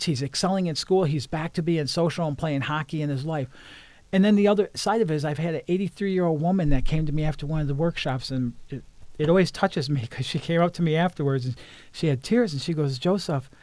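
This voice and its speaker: A man's voice: a little high, darkish tone, dry recording. Earnest man coach with a dry sound. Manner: earnest, repeats words when starting sentences. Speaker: life coach, interview guest. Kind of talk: casual interview conversation.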